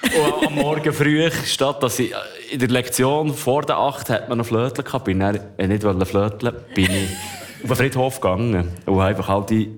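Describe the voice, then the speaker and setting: A man's voice: mid-range voice, moderate pace, a crowd in the background. Swiss German man in his thirties. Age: 30s. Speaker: guest. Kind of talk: casual conversation.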